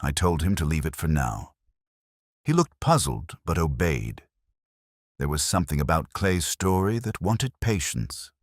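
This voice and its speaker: A man playing a brooding older detective inspector, narrating an audio drama in a measured, reflective, literary way, solemn and wry. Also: rumbling low, sing-song, dry recording, clean studio sound, full character voice. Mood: melancholy, wry.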